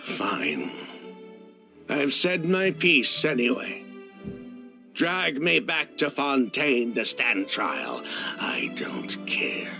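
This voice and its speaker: A man's voice: mid-range voice, big pitch swings, drawn-out words, creaky, music in the background, full character voice. A man voicing a bitter, defiant and vengeful criminal in a video game, with a theatrical, scornful delivery. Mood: bitter resignation.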